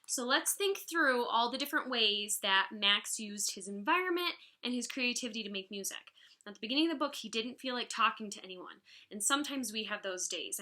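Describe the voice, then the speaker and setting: A woman in her thirties, a music teacher, reading a picture book aloud to children expressively and explaining it as she goes. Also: a little high. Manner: expressive, instructive. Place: living room at home, indoors. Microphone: device mic about arm's length away.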